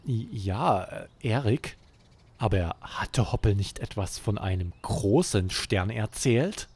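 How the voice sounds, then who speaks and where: A man hosting a children's podcast, narrating a scripted bedtime story in an expressive, deliberate way. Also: on the low side, husky.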